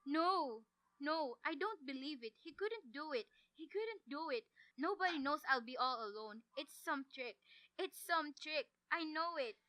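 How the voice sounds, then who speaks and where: Casting: young actress as a nervous, demanding married woman in a radio thriller, high bright voice, indignant and agitated. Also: lively intonation, unhurried, slight background noise, full character voice. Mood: panicked. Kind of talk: 1940s radio thriller acting.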